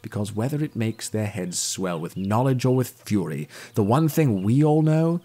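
An actor playing an earnest, opinionated detective in an audio drama, with a formal, declamatory, pedantic manner. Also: male voice, fairly low pitch, animated, moderate pace, dark tone. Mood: earnest, self-righteous. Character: male detective, pompous, earnest, opinionated seeker of truth.

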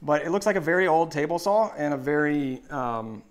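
A man's voice: on the high side, lively intonation, a little fast, clean tone. Een man van in de dertig die als presentator losjes en uitleggerig over gereedschap praat, met een dasspeldmicrofoon in een werkplaats. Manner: chatty and explanatory, with restarts and laughs. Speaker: co-host of a woodworking live show. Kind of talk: casual live-stream chat. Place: home woodworking shop, indoors. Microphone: lapel mic.

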